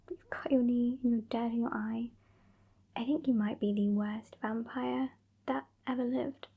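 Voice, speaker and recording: A woman acts out a haughty British vampire mistress for an ASMR roleplay. Her voice is high yet soft and breathy, mostly whispered. She draws out her words very slowly, with withering impatience and condescension. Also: phone-quality sound, full character voice. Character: sassy, haughty, impatient female vampire scolding her weakling fledgling.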